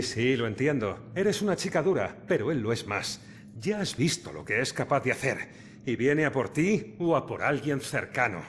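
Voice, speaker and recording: Dogged ghost detective, very animated intonation. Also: male voice, medium pitch, a little hiss or hum. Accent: Castilian Spanish. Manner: insistent, wry. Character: dogged, cocky murdered male detective, now a ghost. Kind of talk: video game cutscene dubbing.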